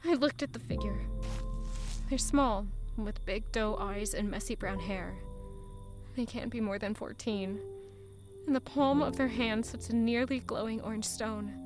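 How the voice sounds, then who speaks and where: A woman's voice: a little high, natural voice. An actress playing a haunted, bitter young woman who narrates her own story in an audio drama with dramatic intensity. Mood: tense, hushed.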